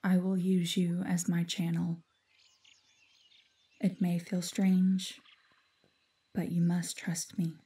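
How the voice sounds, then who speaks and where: Woman playing a solemn, slow-spoken forest guardian spirit. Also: on the low side, completely flat delivery, warm tone, far from the mic. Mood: grave, instructing. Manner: measured, formal, breathy half-whispers. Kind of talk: fantasy audio roleplay drama acting.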